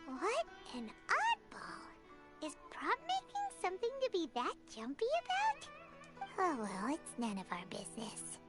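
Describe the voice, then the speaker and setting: A woman voicing a tiny floating fairy companion who is chirpy and blunt, with a high childlike voice, over game music. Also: huge pitch swings, a little slow, full character voice.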